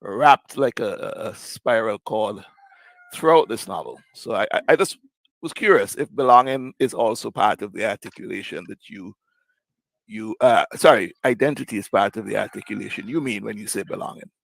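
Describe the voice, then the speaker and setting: A man in a book discussion, speaking reflectively and haltingly as he searches for the right words. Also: fairly low pitch, gravelly, a bit bright, dry recording, low-quality recording. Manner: hesitant, searching for words, long pauses. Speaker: book club panellist.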